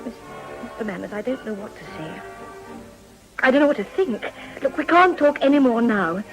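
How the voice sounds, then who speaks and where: Casting: poised young society wife, upper-class English, fairly high and very expressive, formal period-drama delivery. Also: female voice, normal speed, over music, rough recording. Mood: shocked, urgent. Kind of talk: British period drama acting.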